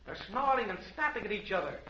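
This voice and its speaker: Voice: mid-range voice, very animated, fast talker, sharp tone. A performer playing an eager, earnest American boy adventurer in an old-time radio serial. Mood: excited. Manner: breathless, earnest, exclaiming. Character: eager excitable boy adventurer, loyal and brave. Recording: dull, noisy vintage radio recording.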